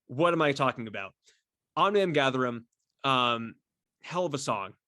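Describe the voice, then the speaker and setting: A man chatting casually and solo as a podcast host, effusive and halting, repeating words and swearing freely as he rambles. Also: mid-range voice, lively intonation, bright, forceful tone, no echo, clean studio sound. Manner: effusive, enthusiastic fan talk. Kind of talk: casual solo chat to camera about a favorite band.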